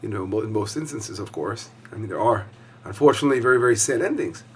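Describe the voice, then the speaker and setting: A hoarse man teaching a weekly Torah lesson as a rabbi, talking conversationally with frequent fillers and restarts. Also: fairly low pitch, animated, fast.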